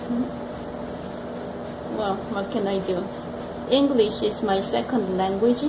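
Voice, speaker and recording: Slow, thoughtful Korean-accented woman. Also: medium pitch, roomy sound, heavy background noise. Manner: slow and thoughtful, talks while working. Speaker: art teacher. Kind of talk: painting demonstration. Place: art gallery studio room.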